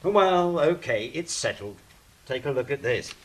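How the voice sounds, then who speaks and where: Lively, wry angel, played by a man. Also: medium pitch, measured pace, close to an everyday voice. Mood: wry, dry. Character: wry, theatrical angel who takes charge. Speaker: stage actor. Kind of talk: theatrical stage drama acting. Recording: faintly noisy stage recording.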